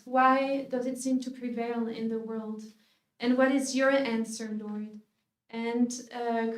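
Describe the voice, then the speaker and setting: A religious sister from France with a French accent, giving a humble, hesitant faith talk with many fillers. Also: female voice, sits high. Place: lecture hall. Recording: echoey hall, speaker far from the mic.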